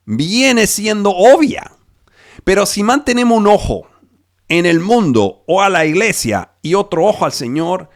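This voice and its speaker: A man with a somewhat high voice delivers a sermon in a slow, deliberate cadence, restarting words now and then. Spanish is not his first language, and he talks through a video call. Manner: slow, emphatic preaching with frequent restarts. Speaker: pastor.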